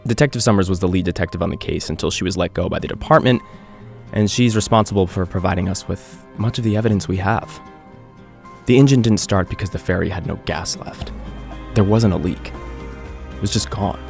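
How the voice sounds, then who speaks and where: Earnest radio host, low gravelly voice, confessional mystery podcast narration over music. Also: male voice, a little mellow. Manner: earnest, measured, conversational storytelling. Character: earnest male island radio host recounting an eerie unsolved mystery. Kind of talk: scripted audio drama narration, confessional podcast.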